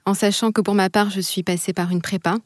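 A young adult woman from Bordeaux, answering interview questions with enthusiastic, expressive storytelling and a few hesitations. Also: mid-range voice, a little fast, dry recording, studio quality. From Bordeaux, France. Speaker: guest.

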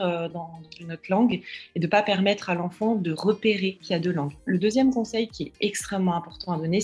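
A woman's voice: fairly low pitch, darkish tone. A woman speaking as a child language expert, explaining with earnest, patient advice and frequent hesitations. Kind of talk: advice interview.

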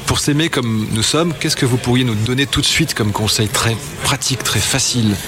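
A man's voice: medium pitch, normal speed. A man hosting a radio interview in a conversational style. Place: outdoor hotel garden in a big city. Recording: clear ambient noise, traffic and construction works.

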